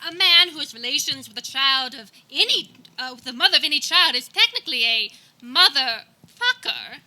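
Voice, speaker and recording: A woman's voice: high-pitched, expressive, normal speed, sharp tone, full character voice. A woman playing a prim, innocent aristocratic daughter in a comic 1920s radio play, speaking formally and pedantically. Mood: earnest, pedantic. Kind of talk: live comic radio theater acting.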